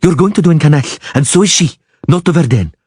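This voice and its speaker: A man's voice: mid-range voice, normal speed, husky, dry recording, clean studio sound, pitched above the performer's own voice, natural voice. A man voicing a proud, fierce young female dryad archer who speaks curtly and with hostility. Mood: hostile. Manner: sharp, curt and hostile.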